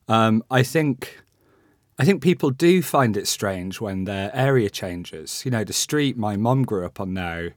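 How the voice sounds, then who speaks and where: A man's voice: lowish voice, dry recording, studio quality. A young man with a West Yorkshire accent, a panel guest talking in a hesitant, thoughtful, conversational way. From England. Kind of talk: panel discussion.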